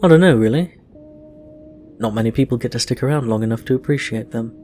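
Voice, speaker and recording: A man's voice: medium pitch, quick pace, dark tone, a little hiss or hum, lightly acted. A man voicing a breezy, teasing, curious supernatural man in a casual, playful way for a story.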